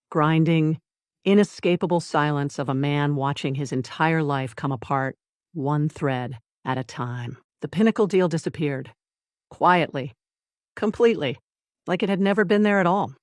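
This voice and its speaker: A story narrator with a low-to-middle voice delivers scripted drama in deliberate, measured phrases. Pauses set off the short lines for weight. The sound is close and dry, with no room on it. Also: no echo. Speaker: audiobook narrator.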